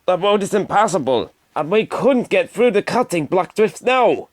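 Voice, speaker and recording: Man acting a plain-spoken, animated engine driver. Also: sits high, moderate pace, voice pushed up. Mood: exasperated.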